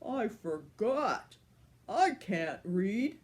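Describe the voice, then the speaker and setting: An older woman voicing a loud, excitable male giant, drawing out his words, while reading a storybook aloud. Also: mid-range voice, drawn-out words. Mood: excited.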